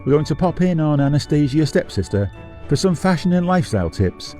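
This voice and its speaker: A man's voice: a little high, big pitch swings, fairly quick, warm tone, faint music behind. A man playing a gushing fairytale television presenter, delivering theatrical scripted comic links between programmes. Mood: gushing, teasing. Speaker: presenter linking the monologues.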